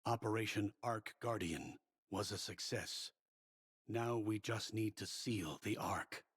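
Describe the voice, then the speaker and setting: An actor playing a grave, weary male commander in a game cutscene, solemn, measured and authoritative, with a husky voice. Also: on the low side, a bit bright, full character voice. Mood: stern, resolute. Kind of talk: dramatic game cutscene voice acting.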